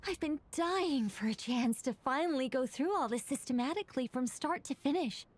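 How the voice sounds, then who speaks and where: A woman's voice: sits high, animated, moderate pace. A woman voicing an eager young scholarly mystic in an anime-style video game, wordy and flustered, trailing off and correcting herself.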